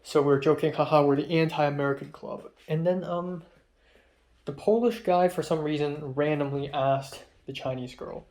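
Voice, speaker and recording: A man's voice: fairly high pitch, normal speed, a little mellow. A young man from Hong Kong whose first language is Cantonese, rambling through a casual vlog story about college with lots of fillers and asides. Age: young adult. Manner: rambling, chatty, frequent fillers and trailing-off asides. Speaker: college student vlogger.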